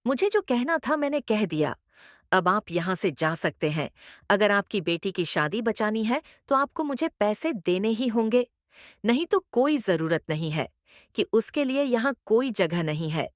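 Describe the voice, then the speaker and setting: A woman's voice: medium pitch, very animated, unhurried, no echo, studio quality. A woman voicing a greedy, domineering middle-aged mother-in-law in a cartoon moral story, with an emphatic, theatrical delivery. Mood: haughty, demanding.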